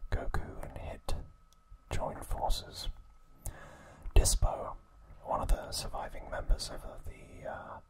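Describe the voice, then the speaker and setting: Man whispering very slowly, ASMR style. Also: low-quality recording. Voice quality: breathy, unvoiced whisper. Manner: slow, soft, gentle delivery. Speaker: ASMR host. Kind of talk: ASMR whispered episode recap.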